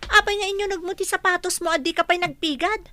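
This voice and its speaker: A young housemaid in an Ilocano radio drama, with a very high, bright voice. She is earnest and deferential, quick to get flustered. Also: fairly even intonation, dry recording, studio quality. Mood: indignant. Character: eager, humble young housemaid, easily flustered.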